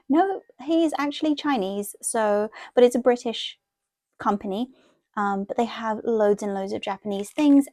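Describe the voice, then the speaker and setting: A woman's voice: medium pitch, a little mellow, dry recording, studio quality. A woman chatting casually and ramblingly as she talks viewers through something in an informal show-and-tell. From half Thai, half Italian heritage. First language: Thai. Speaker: planner and journaling vlogger.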